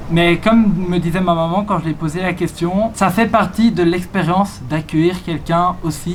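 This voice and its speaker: A teenage man from Belgium, chatting casually as he answers viewer questions about his exchange year. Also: on the high side. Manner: chatty, reads out questions then answers them. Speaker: exchange student vlogger. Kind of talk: casual vlog Q&A. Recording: roomy echo with faint ambient noise.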